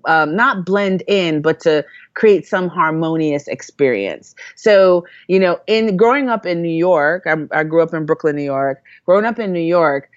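Jamaica-born woman, deep low voice, earnest, lotsa ums, kinda muffled lo-fi sound. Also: normal speed, few pauses, dry recording. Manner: earnest, thoughtful, many fillers and restarts. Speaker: interview guest, employer. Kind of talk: reflective conversational storytelling.

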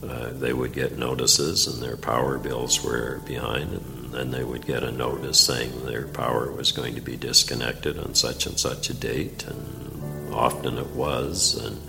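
An older man, a former provincial legislator from Nova Scotia, tells stories from his time in office in a deep voice, halting with many fillers and restarts. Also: fairly even intonation, vocal fry, music in the background. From Nova Scotia, Canada. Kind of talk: interview storytelling.

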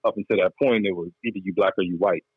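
A man's voice: low voice, fairly even intonation, quick pace, breathy edge, dry recording, narrow, tinny audio. A man guest on a chat show, telling his story candidly in laid-back slang. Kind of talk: casual interview chat.

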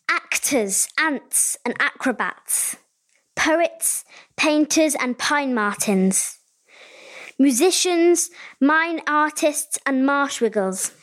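A woman reading a prayer aloud in a measured, solemn way. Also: high-pitched, poor audio. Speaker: prayer leader. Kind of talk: read prayer.

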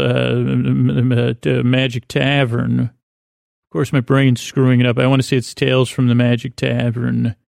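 A man hosting a sleep podcast in a rambling bedtime monologue, creaky and gravelly, halting and stammering as he repeats words and wanders off. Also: medium pitch, darkish tone, no echo, studio quality. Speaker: podcast host.

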